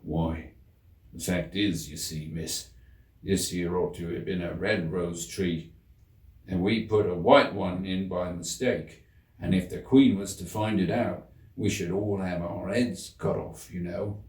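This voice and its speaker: A man's voice: deep voice, fairly even intonation, unhurried, darkish tone, far from the mic, voice pushed down. A man voicing a humble, nervous storybook gardener with rustic speech, hesitant and deferential, in an echoing room. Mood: humble, uneasy. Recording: roomy echo, slightly off the mic.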